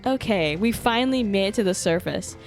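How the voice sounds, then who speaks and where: Animated, sarcastic woman streaming a game. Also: medium pitch, fairly quick. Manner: playfully sarcastic, sweary, reactive. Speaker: live streamer. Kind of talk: casual game livestream commentary, chatting with viewers. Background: game music.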